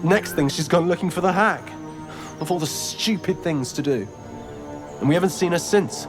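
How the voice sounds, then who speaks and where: Man acting an anxious British villager, higher voice. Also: normal speed, music in the background, close to an everyday voice. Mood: worried. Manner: hesitant, pleading. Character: anxious, timid rustic younger brother, worried sick. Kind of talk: video game character acting.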